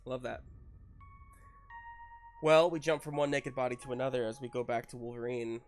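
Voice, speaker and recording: A man improvising narration as a tabletop game master, casually and haltingly searching for words. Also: mid-range voice, flat delivery. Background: faint music.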